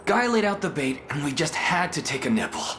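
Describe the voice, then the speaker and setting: An actor playing a brash, combative knight in a video game, with a taunting manner. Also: male voice, fairly high pitch, very animated, fairly quick, a bit bright, a little hiss or hum.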